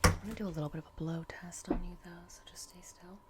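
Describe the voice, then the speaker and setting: A woman whispering in a breathy, gentle and reassuring murmur as she performs a soft-spoken ASMR roleplay. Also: fairly low pitch, steady tone, fast talker, heavy background noise.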